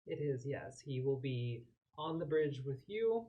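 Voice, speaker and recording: Casual tabletop player, mid-pitched voice. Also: sing-song, unhurried, highs cut off. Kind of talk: casual chat, in-character play.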